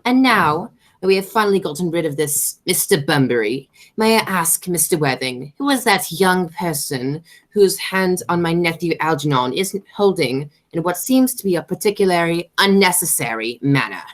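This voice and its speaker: A woman's voice: fairly low pitch, lively intonation, normal speed, few pauses, lightly acted. A woman playing a haughty, stern aristocratic mother and aunt in an amateur radio play, declaiming with snobbish authority.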